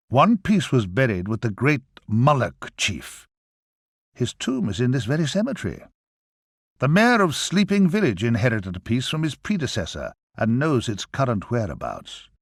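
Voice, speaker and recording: An actor playing a wry, chatty, overworked grim reaper in a video game, with a theatrical manner. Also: male voice, lowish voice, husky, dry recording, studio quality, exaggerated voice. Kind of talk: scripted video game character acting.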